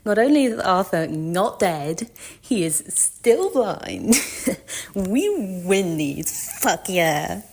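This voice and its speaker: A woman's voice: lowish voice, big pitch swings, extremely slow, creaky, a little mellow. A woman leaving a casual fan voice message, gushing and swearing freely. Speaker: listener sending a voice message.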